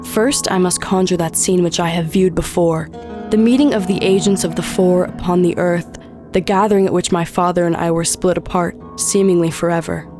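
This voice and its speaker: An actress as the devil's own daughter, a proud and cold demoness in an audio drama. Her voice sits low and her diction is formal and solemn, with music playing clearly behind her. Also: fairly even intonation, normal speed, natural voice. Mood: resolute.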